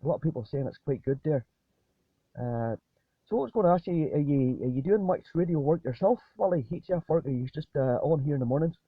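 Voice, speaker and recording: Husky man over tinny radio. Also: a little high, expressive, fast, dry recording. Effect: two-way radio transmission. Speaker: amateur radio operator. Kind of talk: casual two-way radio chat. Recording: tinny narrowband ham radio link.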